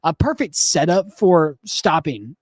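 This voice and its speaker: A hoarse man with a head cold, a TV meteorologist giving a casual, upbeat live forecast with chatty, self-deprecating asides. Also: on the high side, expressive, unhurried, dry recording.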